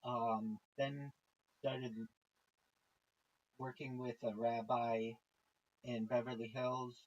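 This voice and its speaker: Slow, husky-voiced man. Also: mid-range voice, muffled recording. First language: not Hebrew. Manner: halting, with many pauses and fillers. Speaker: rabbi. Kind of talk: casual chat while playing golf.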